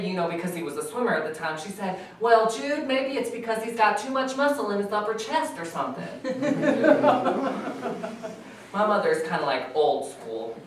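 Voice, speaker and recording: A woman's voice: on the low side, close to an everyday voice. An actress playing a steadfast middle-aged mother from West Virginia, telling her story plainly in a staged reading. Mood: wry. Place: stage in a reverberant room, recorded from afar. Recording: echoey hall, far mic, faint noise.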